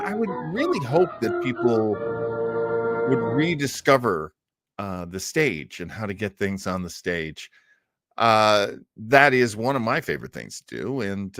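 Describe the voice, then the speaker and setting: A man hosting an old-time radio show, chatting casually and enthusiastically to his audience in a rambling, conversational way. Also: mid-range voice. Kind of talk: casual chat to a live audience.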